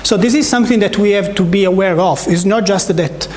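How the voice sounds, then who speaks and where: High-voiced Italian man lecturing in a roomy hall. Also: fairly quick. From Italy. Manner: hesitant, many fillers and restarts, repeated words. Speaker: academic speaker. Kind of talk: research talk. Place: seminar room. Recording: echoey lecture room.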